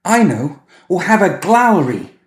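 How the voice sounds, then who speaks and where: A man's voice: sits high, sing-song, measured pace, echoey room, voice pushed up. A man voicing a strange, bossy little boy in a story, with a piping voice and precise, childlike, petulant speech. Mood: excited, bossy.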